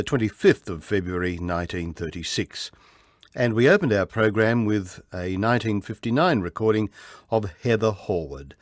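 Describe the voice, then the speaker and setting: A man presenting a radio music program, reading factual notes on old recordings in a measured way. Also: on the low side, dry recording, studio quality. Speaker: radio host. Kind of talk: scripted narration introducing archive music recordings.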